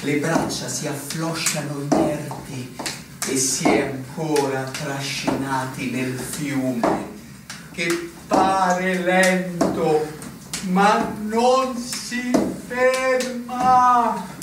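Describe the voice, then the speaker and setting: An actor playing a resigned, philosophical long-serving officer in a lyrical, declamatory style. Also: male voice, a little high, drawn-out words, pauses often. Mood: fervent, mournful. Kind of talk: Italian stage drama acting. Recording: echoey distant stage recording with noise.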